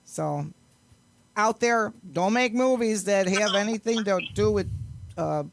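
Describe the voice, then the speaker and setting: A middle-aged woman speaks at an average pitch with plenty of creak in her voice. She talks casually, like a guest being interviewed, wandering from thought to thought with real enthusiasm. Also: measured pace, no room sound. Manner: rambling, chatty, enthusiastic. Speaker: guest, amateur actress and singer.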